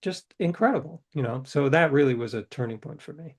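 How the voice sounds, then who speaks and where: A man's voice: on the high side, animated, brisk, breathy edge. Reflective middle-aged male author. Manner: reflective, anecdotal, hesitant restarts. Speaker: guest author. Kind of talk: interview storytelling.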